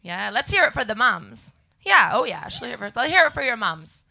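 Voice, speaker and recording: A young Canadian woman with a scratchy voice doing a deadpan stand-up set before a live audience. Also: fairly high pitch, brisk, creaky. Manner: deadpan one-liners to a crowd. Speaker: stand-up comedian guest.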